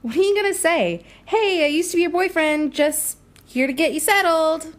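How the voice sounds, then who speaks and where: A woman's voice: extremely high pitch, lively intonation, normal speed, voice pushed up. A woman playing a stubborn, blunt young reporter with no powers in an audio drama, often sarcastic. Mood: scornful.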